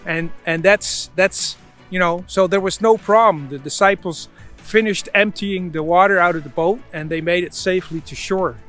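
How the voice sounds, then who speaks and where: A man with a high-pitched voice hosts a casual storytelling devotional. He talks in a folksy, conversational manner, often dropping in "you know", over faint music. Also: moderate pace, a bit bright. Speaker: host, Bible storyteller.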